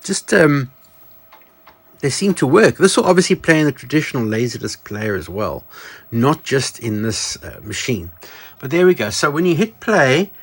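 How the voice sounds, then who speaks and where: Casual man with a lively, swooping voice. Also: mid-range voice, moderate pace, breathy edge. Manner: chatty, musing aloud with self-corrections. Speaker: video channel host. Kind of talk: casual show-and-tell product demonstration.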